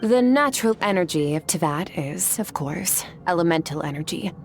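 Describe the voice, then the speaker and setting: An actress playing a cool, aloof female swordmaster who trains her student in a calm, measured, matter-of-fact way in a game. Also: on the low side, soft background music. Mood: composed. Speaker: combat trainer.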